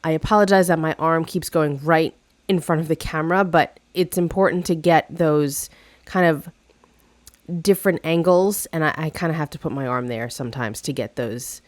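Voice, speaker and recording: A woman narrating a casual craft tutorial, chatty and friendly with fillers and restarts, slightly hoarse from a cold. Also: low voice, normal speed, no room sound. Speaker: craft video host.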